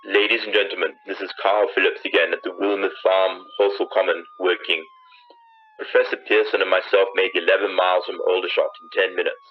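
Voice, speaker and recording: A man's voice: low voice, little pitch movement, brisk, slight background noise, close to an everyday voice. A man playing an urgent, awed on-scene news commentator in a 1930s-style radio drama, searching for words as they describe things. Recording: dull lo-fi old radio sound.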